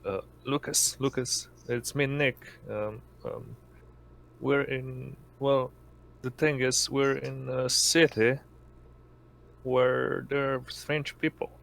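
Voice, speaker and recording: A man's voice: medium pitch, fairly even intonation, takes it slowly, gravelly, a bit bright. A man playing a tabletop role-playing game, speaking casually and hesitantly as he searches for words. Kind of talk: casual improvised role-play.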